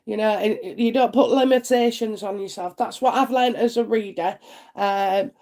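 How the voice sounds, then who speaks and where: A woman's voice: medium pitch, normal speed, dark tone. A woman with a congested head cold, chatting on a live stream as a psychic reader and answering viewer comments in a homely way. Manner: chatty and homely, many "uh" fillers, asks "isn't it?".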